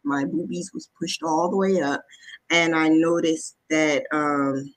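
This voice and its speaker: A woman's voice: low-pitched, fairly even intonation, slow pace, a little hiss or hum, poor audio. A woman vlogger telling a personal story in a casual, conversational way, often backtracking as she goes. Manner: conversational, backtracking, acts out quoted dialogue.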